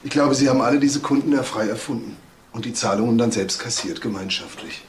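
An actor playing a shrewd, composed male detective with a dry, deliberate manner in a crime audio drama. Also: mid-range voice, breathy edge. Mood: confronting, composed. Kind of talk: naturalistic German radio drama acting.

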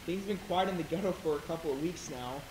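A young man plays a worried townsman in a stage drama. His voice is high. It echoes in the theater with a faint noise. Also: fast, a little mellow, natural voice. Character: worried townsman bringing news to nuns. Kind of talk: amateur stage acting in a high school play. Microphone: distant camera. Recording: echoey stage, hissy distant camera.

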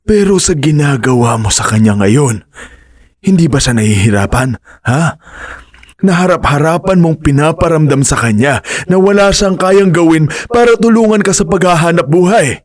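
A man's voice: a little high, lively intonation, moderate pace, no room sound, studio quality. A man playing an older father who scolds his grown son in a radio drama. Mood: scolding. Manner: stern fatherly advice. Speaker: voice actor.

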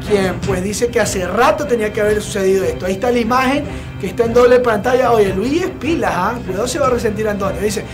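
A young man hosting a TV studio show, giving blunt, opinionated commentary with many filler pauses. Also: sits high. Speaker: TV show host. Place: TV studio set with sofa. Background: background music.

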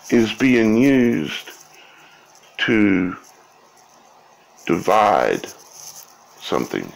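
A man talks through his explanation informally, at a very slow, halting pace. His voice is heavy with vocal fry and swings widely in pitch. He repeats himself and often tags phrases with a questioning check on the listener. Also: low-quality recording. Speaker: video creator.

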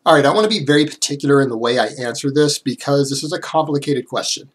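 A man in his thirties, a little hoarse from a cold, explaining fitness science directly to a webcam in a small room at home. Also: mid-range voice, fast talker. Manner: direct, explanatory, talks with hands. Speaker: fitness YouTuber. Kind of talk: explanatory vlog to camera.

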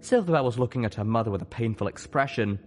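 A narrator reading a scripted story in the first person, with measured, expressive delivery. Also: mid-range voice. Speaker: audiobook reader.

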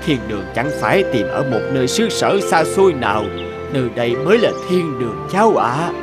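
A man playing a regretful, gentle middle-aged tea farmer who once migrated, reflective and advising as in an animated moral tale. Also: mid-range voice, brisk, close to an everyday voice. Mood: regretful, content. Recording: loud music behind the voice.

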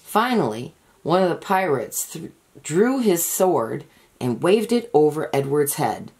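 A woman reading a children's picture book aloud as an expressive, deliberate storyteller. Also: deep voice, breathy edge. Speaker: storybook reader.

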